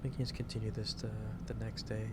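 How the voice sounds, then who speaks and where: A man playing a gentle, caring office boss in an ASMR roleplay, speaking in a halting, reassuring way. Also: lowish voice, close to an everyday voice. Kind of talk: improvised ASMR roleplay, one-sided conversation. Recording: steady loud background noise.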